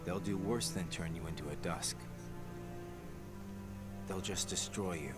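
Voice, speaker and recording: A man's voice: sits low, unhurried. An actor playing a laid-back but guarded young man in a video game cutscene, with a casual, wry drawl. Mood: stern, guarded. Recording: game music behind the voice.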